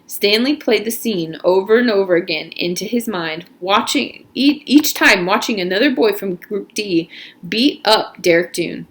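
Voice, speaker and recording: A woman narrating a storybook aloud in an expressive, emphatic way. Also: mid-range voice, normal speed, a little hiss or hum.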